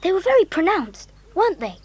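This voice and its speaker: A woman playing a sensible, well-spoken older schoolboy, the calm leader in a children's audio drama. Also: very high voice, fairly quick, a little hiss or hum. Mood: puzzled, sensible.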